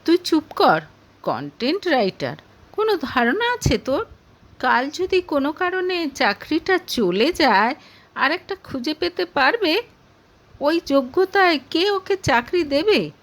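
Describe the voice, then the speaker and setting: A woman's voice: high-pitched, very animated, measured pace, voice pushed up, exaggerated voice. A woman voicing a haughty, scornful middle-aged mother in an audio story, interrogating and snapping with contempt.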